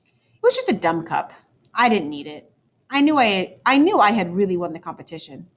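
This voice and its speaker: A woman teacher reading a children's story aloud, with expressive, dramatic emphasis for young listeners. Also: medium pitch, moderate pace. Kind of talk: animated children's book read-aloud.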